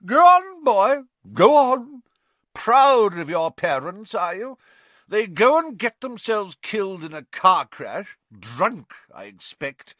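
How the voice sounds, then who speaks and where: A man voicing a loud, bullying, drunken middle-aged aunt with a booming, scornful manner. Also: big pitch swings, normal speed, no echo, exaggerated voice. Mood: furious.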